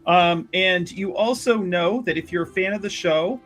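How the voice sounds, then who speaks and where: A man's voice: high voice, normal speed, a bit bright, faint music behind. A man playing in a streamed tabletop game, talking in a casual, rambling way. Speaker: player in a tabletop roleplaying show. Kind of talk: casual, rambling livestream chat.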